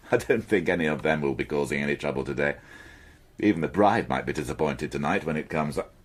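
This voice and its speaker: A man's voice: rumbling low, animated, fairly quick, breathy edge, exaggerated voice. An actor playing a dry, weary, defensive male police inspector in a radio crime drama. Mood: matter-of-fact. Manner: dry, sardonic.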